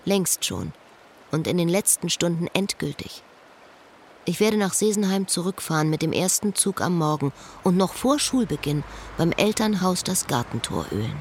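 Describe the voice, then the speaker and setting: An actress as a woman out of love, thinking aloud in a radio play. Her voice is on the low side, introspective and wry, with a faint noise behind it. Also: expressive, normal speed, lightly acted. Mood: resigned. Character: disillusioned woman out of love, inner monologue, wry. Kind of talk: literary radio drama monologue.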